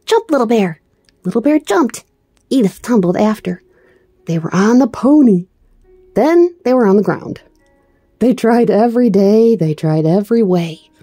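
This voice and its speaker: A woman storyteller giving an expressive read-aloud narration of a children's book. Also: mid-range voice, unhurried, slightly rough, dry recording, soft background music.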